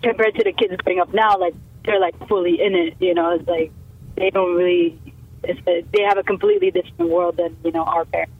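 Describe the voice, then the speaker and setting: A woman's voice: on the low side, steady tone, brisk, husky. A young woman from Canada, a musician, chatting casually in an interview, rambling with many fillers and restarts. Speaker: musician guest. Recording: tinny phone line.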